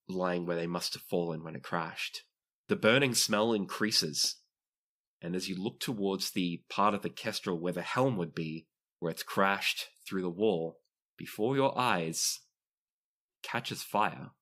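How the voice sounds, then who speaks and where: Deep-voiced man running a tabletop game. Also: a bit bright. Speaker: game master. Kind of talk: narrating a tabletop roleplaying game.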